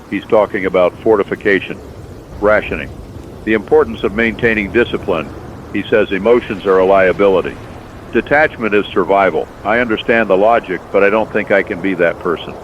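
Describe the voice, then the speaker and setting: Low male narrator, measured and reflective, dull lo-fi sound with faint hiss. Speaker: narrator as a radio host. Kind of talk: scripted first-person horror narration. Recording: dull lo-fi recording with faint noise.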